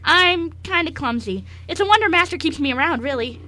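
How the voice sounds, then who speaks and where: Girl doing a clumsy dog boy servant for amateur radio, high bright voice, super flustered and sorry. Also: animated, fairly quick, slight background noise, full character voice. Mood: flustered, apologetic. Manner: apologetic, polite, easily flustered. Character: clumsy, anxious, eager-to-please young male dog-boy servant. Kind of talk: amateur radio play acting. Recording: amateur radio show recording.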